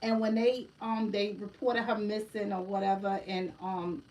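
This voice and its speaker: A woman's voice: mid-range voice, level tone, moderate pace, darkish tone, far from the mic, low-quality recording. Female card reader, conversational and informal, sitting back from the mic with a light noise floor. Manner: chatty, keeps asking "right?" and calls listeners "family". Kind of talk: casual livestream card reading.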